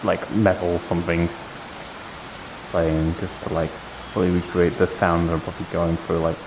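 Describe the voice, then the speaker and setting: A man's voice: low voice, level tone, moderate pace. A man improvising roleplay dialogue in a hesitant, thinking-aloud manner. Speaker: streamer role-playing in a VR game. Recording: clearly noisy with rain-like hiss.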